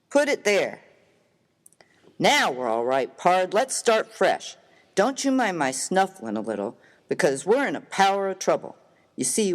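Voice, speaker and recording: Man playing a rough, animated Western miner, echoing hall. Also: high voice. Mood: earnest, slangy. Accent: American. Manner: folksy, slangy, emphatic, expressive. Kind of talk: dramatized reading of a humorous story. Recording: reverberant hall-like room.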